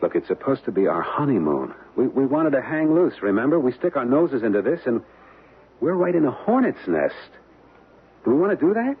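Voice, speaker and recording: An actor playing a wary, easygoing young American husband in a radio drama, speaking in slangy, hesitant phrases. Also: male voice, mid-range voice, sing-song, fairly quick, husky, exaggerated voice. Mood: cautious, resisting. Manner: slangy, hesitant, repeating words. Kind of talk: old-time radio drama acting. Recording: tinny, band-limited vintage radio, hissy.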